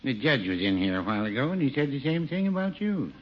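Under loud noise on a dull old radio recording, a man acts a mild older American druggist. He is droll, polite and understated, with a lilting small-town politeness. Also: medium pitch, very animated, fast, close to an everyday voice. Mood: mildly chatty. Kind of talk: 1950s radio comedy acting.

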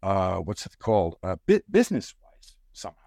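A man's voice: sits low, wildly swooping pitch, vocal fry, dark tone, dry recording, studio quality. A man from the Netherlands with a Dutch accent, hosting a casual chat, often searching for words and restarting. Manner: halting, many restarts and fillers, searches for words. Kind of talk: casual conversation.

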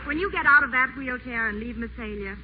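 An actress playing a brisk, fed-up young woman secretary in a 1940s radio play, firm and exasperated. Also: fairly high pitch, close to an everyday voice. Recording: muffled old radio broadcast, faint hiss.

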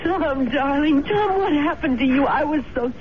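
An actress playing an anxious, devoted wife in a 1970s radio drama. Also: female voice, high voice, normal speed, close to an everyday voice. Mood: tearful relief. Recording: tinny, noisy 1970s radio broadcast.

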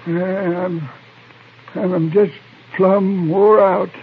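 An actor playing a weary, meek man in his seventies from the frontier, husky and folksy, in an old radio western. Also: sits high, steady tone, drawn-out words, a little hiss or hum, full character voice. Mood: weary, feeble. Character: worn-out old frontiersman, seventy-five, plain-spoken and meek. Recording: muffled, narrow-band old radio recording.

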